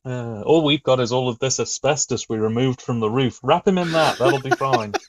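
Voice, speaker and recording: A man's voice: medium pitch, normal speed. A man chatting casually as a podcast guest, with wry, colourful phrasing. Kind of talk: casual chat. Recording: dull lo-fi call audio.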